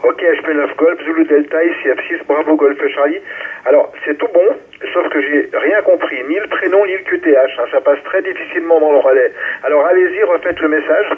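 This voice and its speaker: A man's voice: a little high, brisk, husky. A man acting as amateur radio net controller, talking informally and calling stations over a radio link. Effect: ham radio transmission. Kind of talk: running a ham radio round-table, call signs. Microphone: radio transceiver microphone. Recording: muffled narrow-band amateur radio relay.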